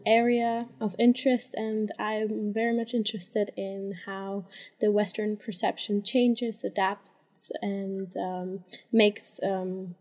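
A woman's voice: mid-range voice, darkish tone. A young adult woman, a university student, introducing herself conversationally as a podcast guest.

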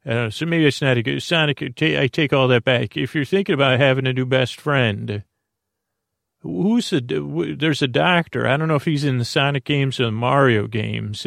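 A man hosting a podcast meant for falling asleep, rambling slowly with many fillers and restarts. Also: medium pitch, creaky, dry recording, clean studio sound. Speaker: podcast host. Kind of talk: rambling sleep-podcast monologue.

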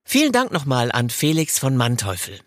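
A man's voice: medium pitch, wildly swooping pitch, moderate pace, slight background noise. A man presenting as the host of an interview podcast.

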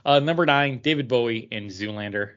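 A man's voice: mid-range voice, brisk, fairly bright tone, muffled recording. A man co-hosting a podcast, talking casually with friends. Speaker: podcast co-host. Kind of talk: casual chat, reading out a list.

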